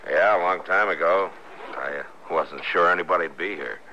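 Casting: plainspoken American frontier lawman, low register, terse authority, old radio western with dulled, hissy sound. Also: moderate pace, sharp tone. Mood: subdued, reticent. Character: laconic, commanding U.S. Marshal of the Old West. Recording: muffled, noisy vintage radio recording.

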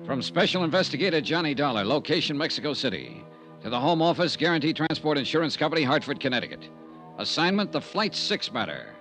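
An actor playing a shrewd, hard-boiled insurance investigator in a 1950s radio detective drama, clipped, dramatic and wry. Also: male voice, a little high, very animated, fast talker, a bit bright, soft background music. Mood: crisp, narrating.

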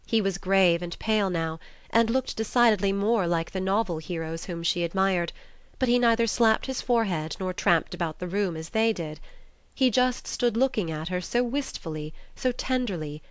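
Woman narrating an audiobook, smooth clear voice, kinda low, dry close sound. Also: normal speed, dry recording. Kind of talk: scripted literary narration.

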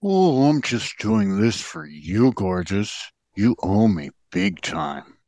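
A man's voice: on the low side, sing-song, slow pace, a little mellow, dry recording, studio quality. A man playing a grudging, put-upon playboy Corgi in an audio play, delivered theatrically. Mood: grumbling.

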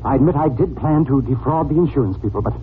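An actor playing a flustered, scheming book collector in a 1940s radio drama, stammering, formal and defensive. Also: male voice, medium pitch, fast talker, close to an everyday voice. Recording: noisy, tinny 1940s radio recording.

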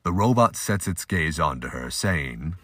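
A man's voice: extremely low pitch, lively intonation, a little slow, fairly bright tone. A man giving scripted, audiobook-style narration for an audio drama.

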